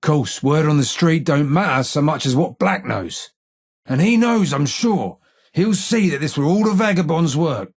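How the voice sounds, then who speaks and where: An actor playing a blind, weary old London gang informer in a video game, with a London accent, a husky gravelly voice and measured, wry street talk. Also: male voice, fairly high pitch, animated. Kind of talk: video game character dialogue.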